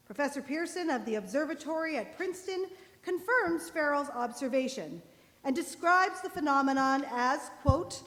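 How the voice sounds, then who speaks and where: A woman's voice: sits high, a bit bright. A woman playing an urgent, formal news announcer in a live 1930s-style radio play, speaking emphatically. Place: church, live performance. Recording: echoey hall, live stage recording.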